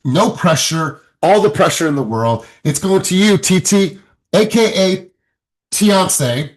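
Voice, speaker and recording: A man's voice: high-pitched, expressive, takes it slowly. A man hosting a group coaching session on a video call, upbeat and hyping up his audience with repeated phrases. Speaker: sales coach and session host.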